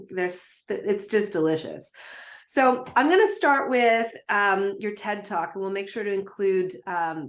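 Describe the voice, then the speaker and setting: Female host from Canada, lowish voice, eager and effusive interviewer. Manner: enthusiastic, effusive. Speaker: podcast host. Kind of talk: interview chat.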